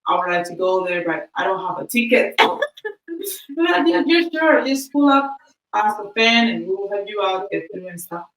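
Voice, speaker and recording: A woman's voice: mid-range voice, expressive, moderate pace, fairly bright tone, roomy sound, recorded from a distance, slight background noise, low-quality recording. A woman whose first language is Spanish, telling a story on a podcast in a chatty way, acting out the quoted exchanges. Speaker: podcast host.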